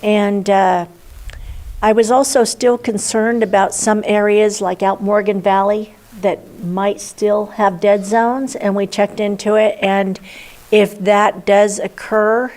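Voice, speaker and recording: Even-pitched woman reporting at a meeting. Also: slow pace. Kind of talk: informal report at a public meeting.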